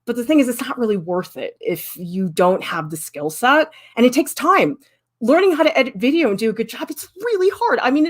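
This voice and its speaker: Emphatic, candid woman art professor. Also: medium pitch. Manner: candid, lively, emphatic, with frequent "I mean". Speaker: art professor critiquing students' work. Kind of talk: livestream critique, answering chat questions.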